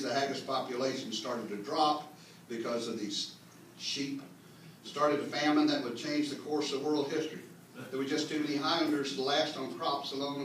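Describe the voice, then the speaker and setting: In a heavily reverberant room, picked up from a distance over faint noise, a man delivers a humorous lecture. He explains things with mock earnestness and drops in folksy asides. His pitch sits in an ordinary male middle range. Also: a bit bright. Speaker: speaker reading a humorous mock history at a clan meeting. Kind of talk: tongue-in-cheek comic lecture read to an audience. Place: indoor meeting room with live audience.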